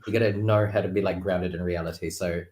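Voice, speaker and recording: A man talking casually and reflectively as a guest tarot reader, expressive and playful, on an online call from a roomy space. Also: sits low, fast talker. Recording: roomy, echoey sound.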